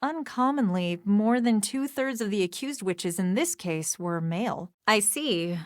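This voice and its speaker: A woman's voice: mid-range voice, animated, unhurried, no echo, clean studio sound, lightly acted. An actress as a formal, scholarly woman historian. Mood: earnest, lecturing. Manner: formal, precise, bookish. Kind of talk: video game voice acting.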